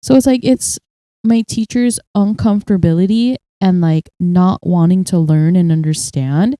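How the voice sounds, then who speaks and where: A young woman co-hosting a casual chat podcast, telling stories in an animated way and acting out what people said. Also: fairly low pitch, normal speed, dry recording. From United States. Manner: storytelling, animated, acts out quotes. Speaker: podcast co-host.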